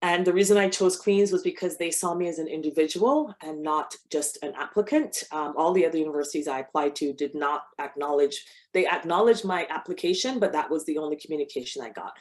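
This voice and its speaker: A woman's voice: low voice, brisk, few pauses, a little mellow. A middle-aged woman from Barbados, a cancer biologist speaking to an audience in a conversational way, with restarts. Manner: conversational, with frequent restarts and fillers. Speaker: cancer biologist giving a talk. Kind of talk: personal talk to an audience.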